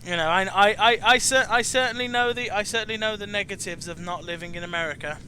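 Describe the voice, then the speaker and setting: A man in his twenties with a British accent, hosting a casual online chat in a halting way, often repeating words. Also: high voice, sharp tone, a little hiss or hum. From United Kingdom. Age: 20s. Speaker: podcast host.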